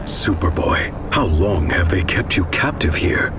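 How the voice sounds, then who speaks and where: A man playing an earnest, serious superhero guide in a video game, speaking in a measured way. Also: low voice, lively intonation. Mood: grave. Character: earnest male superhero guide, grave and concerned. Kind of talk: video game cutscene acting. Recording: loud cinematic music behind voice.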